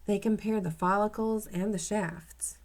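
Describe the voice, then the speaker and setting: A woman reading aloud as a calm, knowledgeable man who explains things in a children's storybook. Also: mid-range voice, measured pace, voice pushed up, lightly acted. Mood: explaining. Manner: instructive, matter-of-fact.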